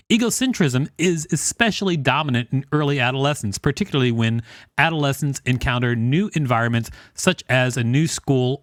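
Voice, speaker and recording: A man's voice: medium pitch, dry recording, studio quality. A man co-hosting a podcast, reading an encyclopedia article aloud with informal, joking banter. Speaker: podcast co-host.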